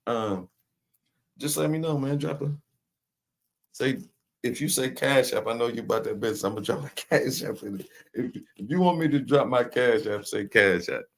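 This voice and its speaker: Gravelly-voiced man hosting a livestream. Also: medium pitch, moderate pace. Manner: loose and joking, talks to viewers in chat by name. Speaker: livestream host. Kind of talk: casual live-stream commentary, reading chat.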